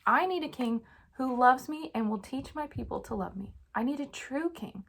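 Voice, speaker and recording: A woman voicing a calm, loving, decisive God in a gentle storybook reading. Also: sits high, normal speed, gravelly, heavily acted. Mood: calm, assured.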